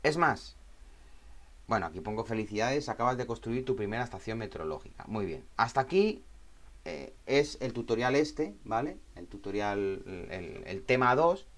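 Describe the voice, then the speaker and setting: A man's voice: on the low side, expressive, normal speed, fairly bright tone. Man giving an informal tech tutorial. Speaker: tutorial instructor. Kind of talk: informal spoken screen tutorial.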